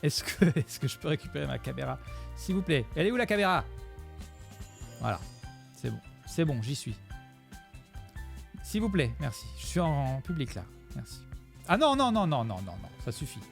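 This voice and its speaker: A man's voice: a little high, big pitch swings, moderate pace, gravelly, pauses often, soft background music. Rambling jokey man streaming live. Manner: rambling, jokey, thanks followers. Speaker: streamer commenting his own video. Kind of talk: live commentary to chat.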